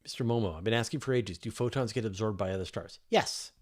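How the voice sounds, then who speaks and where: A middle-aged man speaks in a fairly low voice, explaining things off the cuff. He sounds conversational and emphatic, like a host casually working through questions on a livestream, often restating a phrase before he answers it. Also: fast. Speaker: host answering live audience questions on space and astronomy.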